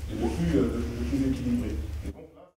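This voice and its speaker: Very mellow, dark-voiced man in echoey hall. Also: mid-range voice, fairly quick. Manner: emphatic, didactic, academic vocabulary. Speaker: round-table speaker. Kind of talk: public discussion, explanatory. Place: cinema hall. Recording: very echoey hall, distant mic, faint noise.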